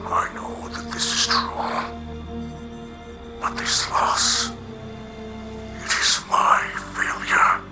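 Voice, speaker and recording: A man voicing a large, gentle alien captain in a game cutscene, humble and guilt-ridden, with a breathy, growling rasp and halting, formal speech. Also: over music. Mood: grieving, guilty. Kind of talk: dramatic video game cutscene acting.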